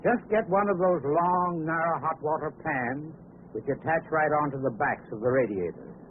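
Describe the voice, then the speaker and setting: Male vintage radio expert, higher-pitched, instructive sponsor read, crackly narrow-band sound. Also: moderate pace. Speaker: heating expert giving sponsor message. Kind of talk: scripted 1940s radio advertising talk.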